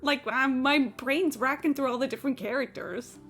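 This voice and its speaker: A woman's voice: high voice, moderate pace, fairly bright tone, faint music behind. A woman livestreaming a game, chatting casually and opinionatedly in a blunt, sweary, incredulous ramble. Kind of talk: casual opinionated chat while gaming.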